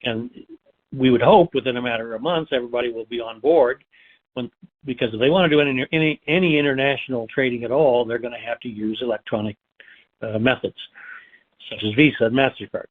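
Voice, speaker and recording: A man co-hosting a conference call, explaining finance in a halting, emphatic way over a thin telephone line. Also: mid-range voice.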